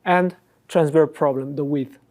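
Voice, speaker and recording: A man's voice: fairly high pitch, steady tone, unhurried, darkish tone. A middle-aged man who grew up in Chile and Peru, an orthodontist explaining his work in a studio interview, speaking with many fillers and restarts. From Korea, raised in Chile and Peru. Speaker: orthodontist guest.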